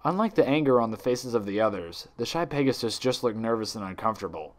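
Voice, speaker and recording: Guy reading a fanfic out loud, dramatic narrator, medium pitch, really animated. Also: fast. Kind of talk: dramatic fan fiction story reading.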